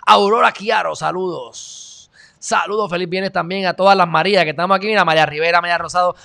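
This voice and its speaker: Animated Puerto Rican male host, bright tense tone, close-miked, chatty delivery. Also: high-pitched, fast talker, vocal fry. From Puerto Rico. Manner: animated, gestures widely, shifts loud and quiet. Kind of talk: live stream chat, reading viewer comments. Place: small indoor studio. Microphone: studio mic on stand, close.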